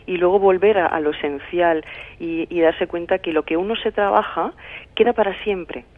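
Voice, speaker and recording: A woman from Madrid with a Spanish accent, an author answering interview questions in a calm, explanatory way. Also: on the low side, normal speed. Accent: Spanish from Spain. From Madrid, Spain. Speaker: author and guest. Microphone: phone line. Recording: tinny phone line.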